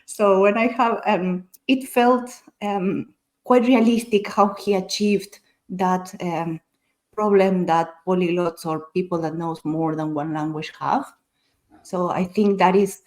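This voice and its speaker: A woman's voice: on the low side, darkish tone. A woman whose first language is Spanish, talking casually as a panelist in a book discussion, explaining her thoughts in a considered way.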